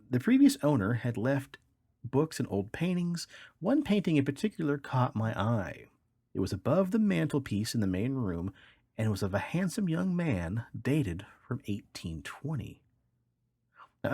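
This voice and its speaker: A man's voice: mid-range voice, a little mellow, husky, dry recording, clean studio sound. A man hosting a podcast, reading odd news stories aloud in a wry, tongue-in-cheek way. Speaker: podcast host.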